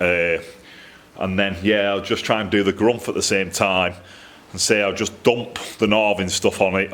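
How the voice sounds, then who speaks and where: A man's voice: low voice, fairly bright tone. A man with a Northern English accent rambles casually to camera in a colloquial, self-deprecating way, recorded in a workshop. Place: metalworking workshop, indoors.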